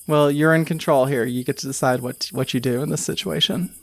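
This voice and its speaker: A man improvising tabletop game narration as game master, telling the story in a halting, conversational way. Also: medium pitch, steady tone, gravelly, fairly bright tone, no room sound.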